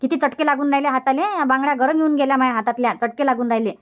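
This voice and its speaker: A whiny young village sister voiced by a woman in a cartoon comedy. She grumbles to herself quickly in a high voice with a Varhadi Marathi accent. The audio is dull and lo-fi. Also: fairly even intonation, lightly acted. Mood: whiny, grumbling. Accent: Varhadi Marathi from Vidarbha. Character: whining young village sister sweating over a cooking fire. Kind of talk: dubbed cartoon comedy monologue.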